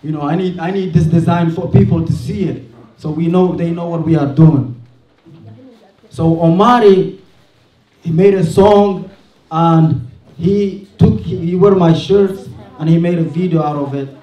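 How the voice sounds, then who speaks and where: A man's voice: a little high, a little slow, warm tone. Man talking through slides in an echoey hall. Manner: chatty, laughs often, says "next" to advance slides. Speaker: event speaker presenting slides. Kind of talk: casual public talk at a showcase. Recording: echoey room from far off.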